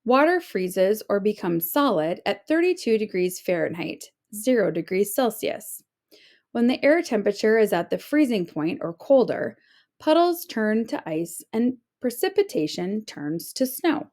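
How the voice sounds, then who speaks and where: Woman reading aloud with very lively intonation. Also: mid-range voice, a little slow. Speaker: teacher reading a children's book aloud. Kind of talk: scripted read-aloud of an informational children's book.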